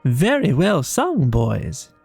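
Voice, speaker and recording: A man voicing a hearty, masterful rat in a storybook, bossy but kindly, with a brisk, commanding manner. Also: fairly high pitch, huge pitch swings, creaky, darkish tone, slightly rough, no echo, soft background music, full character voice. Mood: hearty, welcoming.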